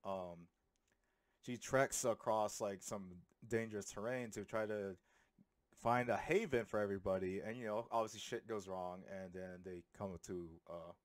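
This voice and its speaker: A man in his thirties giving a rambling, animated, sweary film review in a casual comedic style. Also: fairly low pitch, moderate pace, dry recording, studio quality. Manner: animated, sweary, uses slang. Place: small room at home. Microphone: handheld microphone held close to the mouth.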